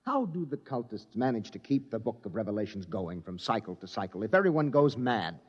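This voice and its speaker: An actor playing a nervous, stammering newspaper reporter in a 1950s radio drama. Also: male voice, fairly low pitch, big pitch swings, a little fast. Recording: muffled old radio broadcast with reverb.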